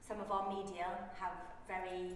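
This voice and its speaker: A woman journalist asking interview questions in a gentle, measured way. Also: medium pitch, monotone. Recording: very echoey, distant mic with faint noise.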